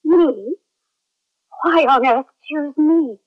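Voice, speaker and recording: Lady space officer, pretty high voice, old radio drama, muffled sound. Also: very slow. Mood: surprised. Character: female space program major and radio operator. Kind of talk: 1970s radio drama acting. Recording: muffled, band-limited vintage radio recording.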